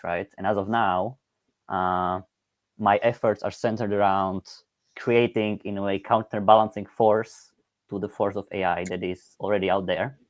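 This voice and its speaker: A man from Eastern Slovakia, speaking over a video call in a thoughtful, academic way, often pausing on "uh" and tagging points with "right?". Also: low-pitched, no echo. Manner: hesitant, many "uh" fillers and "right?" tags.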